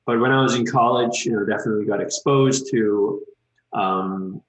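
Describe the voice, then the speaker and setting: A man in his thirties from Malaysia, a guest in an interview, talking casually and relaxed with many "um" and "you know" fillers. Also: fairly low pitch, moderate pace, echoey room, low-quality recording.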